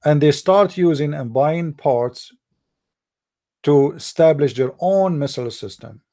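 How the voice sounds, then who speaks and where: A man whose first language is Arabic, giving a heated casual monologue, often pausing and searching for words. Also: a little high, animated, dark tone. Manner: halting, searching for words, many fillers; heated, blunt opinions. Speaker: commentator.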